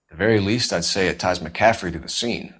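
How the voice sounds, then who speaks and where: An actor playing a stern, dogged young American police detective in a video game, clipped and formal, pressing with accusations. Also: male voice, sits low, brisk, fairly bright tone, breathy edge. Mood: businesslike. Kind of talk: dramatic video game acting.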